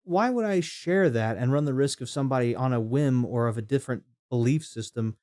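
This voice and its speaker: A man's voice: medium pitch, fairly quick, darkish tone. A man streaming a game, talking casually and rambling through opinions, with frequent restarts and chat comments read aloud.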